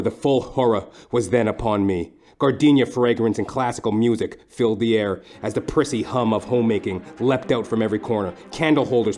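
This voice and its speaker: A man playing a wry, lecherous private detective, narrating a noir parody audio play in a florid, deliberate deadpan. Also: mid-range voice, lightly acted.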